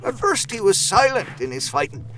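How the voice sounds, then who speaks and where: Victorian asylum doctor, formal earnest narration, audio drama, high male pitch, faint music underneath. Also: very animated, sharp tone, full character voice. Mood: urgent. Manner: formal, measured storytelling.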